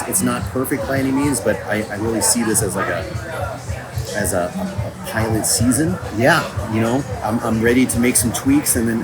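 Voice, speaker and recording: A man talking casually and informally about his creative work, in video diary style. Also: mid-range voice. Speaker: audio drama writer and creator. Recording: noisy location recording with vehicle and ambient noise.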